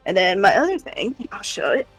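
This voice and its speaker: A woman giving a casual show-and-tell of her crafts on a livestream, explaining in a halting, self-interrupting way. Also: mid-range voice, very animated, faint music behind, low-quality recording. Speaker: crafter showing crochet projects on a livestream.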